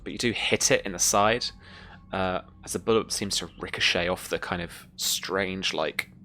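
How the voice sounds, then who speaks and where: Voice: sits low, normal speed, sharp tone, a little hiss or hum. A game master narrating a tabletop role-playing game in a conversational, descriptive way.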